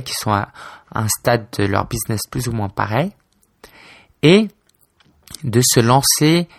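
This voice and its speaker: A man's voice: mid-range voice, creaky, dry recording, clean studio sound. A man in his twenties from France, a blogger talking casually and informally to his listener, hesitant, with many fillers and restarts. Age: 20s. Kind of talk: casual solo talk to camera.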